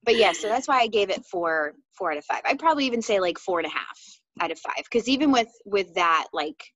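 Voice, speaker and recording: Woman, book-chat co-host, casual and candid, mid pitch, close dry sound, a little lo-fi. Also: animated, fairly quick, fairly bright tone, dry recording. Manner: candid, conversational, peppered with "like".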